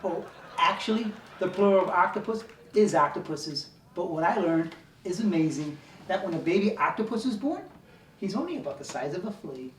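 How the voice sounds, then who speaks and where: A woman's voice: lowish voice, lively intonation, moderate pace, natural voice. A woman playing an eager, oblivious nerdy man who earnestly spouts trivia, in an echoey room. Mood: pedantic. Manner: earnest, pedantic. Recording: echoey room, distant mic, faint noise.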